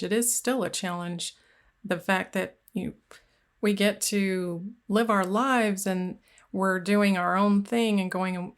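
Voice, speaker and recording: A woman hosting a conversational interview, reflecting on personal caregiving experience with occasional restarts. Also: medium pitch. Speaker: podcast host.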